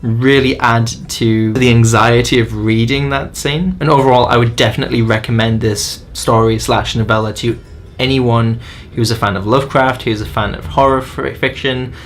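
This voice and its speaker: A man's voice: mid-range voice, fairly even intonation, normal speed, slight background noise. A man narrating a scripted book review in an enthusiastic, opinionated way. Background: faint music.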